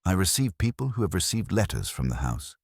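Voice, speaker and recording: Radio play role: composed, prim older woman male performer, dry and exact, very low register, slightly husky. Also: sing-song, a little mellow, no room sound, heavily acted. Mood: dry, guarded. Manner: precise, measured, formal. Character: composed, dryly witty, prim older woman, a music-loving typist.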